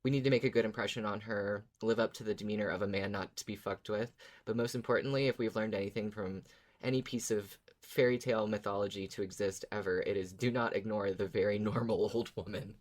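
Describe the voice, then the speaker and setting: Podcast co-host, mid-pitched, casual, conversational, making an argument. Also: fairly even intonation, fairly quick. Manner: conversational, arguing a case. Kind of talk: casual discussion of a story podcast, reading listener comments.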